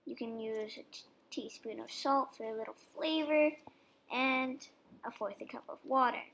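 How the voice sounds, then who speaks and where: Young woman, fairly high voice, chatty how-to, relaxed step-by-step explaining. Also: moderate pace, vocal fry. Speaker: vlogger baking dog treats. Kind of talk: casual cooking demonstration voice-over.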